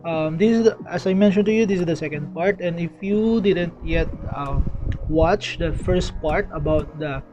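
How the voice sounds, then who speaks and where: A man's voice: a little high, normal speed, a little mellow. A young man from the Philippines explaining a scholarship in a casual vlog, talking in a chatty way with many fillers and frequent "okay"s, recorded indoors close to the camera. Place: indoors, small room.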